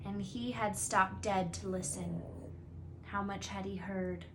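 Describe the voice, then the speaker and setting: A woman reading a storybook aloud as a teacher, in an expressive narrating manner. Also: medium pitch, noisy background.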